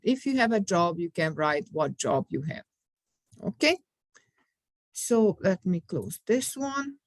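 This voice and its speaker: Female ESL teacher, middle-aged, slow and patient, clear simple phrasing, close dry sound. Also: on the low side, dry recording. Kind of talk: classroom instruction recorded for students.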